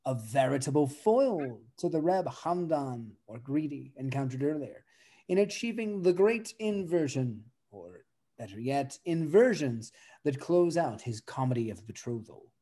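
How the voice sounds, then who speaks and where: A man's voice: mid-range voice, animated, unhurried, warm tone. A young man reading scholarly narration with a wry touch over a video call from an indoor room. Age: young adult. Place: indoor room with bare wall.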